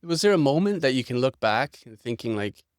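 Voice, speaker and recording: Man, podcast host, quick pace, gravelly edge, dry studio sound. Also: mid-range voice, very animated, no room sound. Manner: upbeat, conversational, quick questions. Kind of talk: podcast interview.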